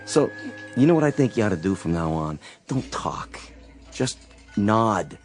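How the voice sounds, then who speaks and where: An actor playing a protective, plain-spoken tavern keeper in a radio drama, colloquial and a little halting. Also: male voice, fairly low pitch, big pitch swings, soft background music. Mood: advising.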